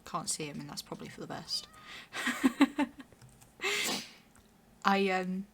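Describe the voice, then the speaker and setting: A young woman with a hoarse, raspy voice, chatting casually and rambling while streaming a game. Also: lowish voice, sing-song, slow, fairly bright tone, a little hiss or hum. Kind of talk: casual chat while gaming.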